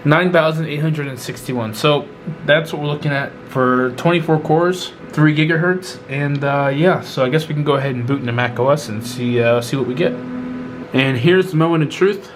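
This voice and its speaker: A man with a stuffy, congested cold, talking casually through a hands-on computer build in a relaxed, chatty way, with frequent ums and self-corrections. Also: fairly high pitch, slight background noise. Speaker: YouTube tech vlogger. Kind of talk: casual hands-on tech vlog narration.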